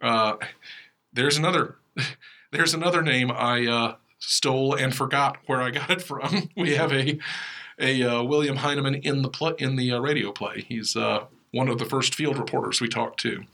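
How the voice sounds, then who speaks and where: A man's voice: mid-range voice, normal speed, sharp tone. An American man co-hosting a podcast, explaining things casually with wry digressions. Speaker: podcast co-host and writer. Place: podcast studio.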